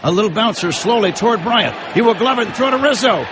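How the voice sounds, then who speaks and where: A man calling a baseball game live, shouting his play-by-play exuberantly. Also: high-pitched, expressive, fast talker. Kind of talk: excited live game commentary. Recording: loud stadium crowd noise throughout. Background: roaring ballpark crowd.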